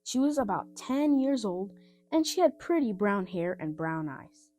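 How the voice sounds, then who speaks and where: A woman reading a scripted children's story aloud in an expressive, simple style, with music behind her. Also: mid-range voice, measured pace. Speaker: storybook reader.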